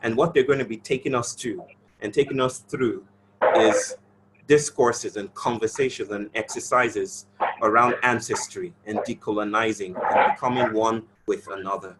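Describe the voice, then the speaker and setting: A man's voice: on the low side, steady tone, moderate pace, very noisy. A man hosting an online session, introducing his guests in effusive, affectionate bursts over a video call. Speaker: session host.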